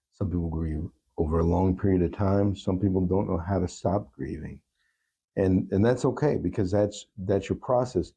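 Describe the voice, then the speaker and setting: A man speaking as a podcast guest in a reflective interview, gentle, reassuring and deliberate. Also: deep voice, creaky, husky.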